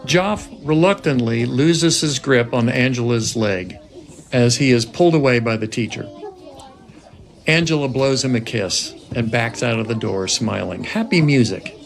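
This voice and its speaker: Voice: medium pitch, unhurried, creaky. A narrator reading scripted stage directions aloud at a film table read.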